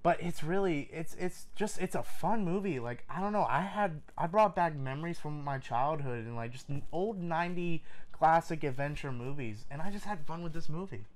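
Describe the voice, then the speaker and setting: A man's voice: fairly high pitch, expressive, moderate pace. A man co-hosting a casual movie review, talking enthusiastically and repeating phrases for emphasis. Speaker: film review co-host.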